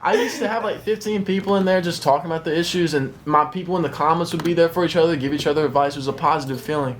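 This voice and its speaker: A man's voice: a little high, very fast, slight background noise. A young man from the Carolinas, a rapper guest talking candidly and with self-mockery on a radio show. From South Carolina, raised in North Carolina. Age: young adult. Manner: candid, self-deprecating, laughs easily. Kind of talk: casual radio interview. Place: radio studio.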